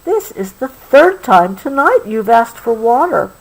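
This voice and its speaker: A woman voicing a gentle, reassuring kangaroo mother in a bedtime storybook, soft and patient. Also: a little high, very animated, measured pace, exaggerated voice, low-quality recording. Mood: gentle, amused.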